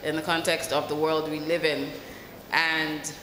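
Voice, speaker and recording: A woman's voice: low-pitched, little pitch movement, a little slow, fairly bright tone. A woman in the audience asking a panel a long, carefully phrased question into a handheld mic. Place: large festival tent with audience. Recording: echoey hall, faint room noise.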